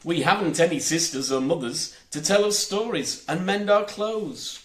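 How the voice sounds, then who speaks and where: A man voicing a boastful, lively young boy in a children's storybook, with cocky, emphatic delivery. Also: a little high, moderate pace, echoey room, voice pushed up, lightly acted. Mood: cunning. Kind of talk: storybook character voice.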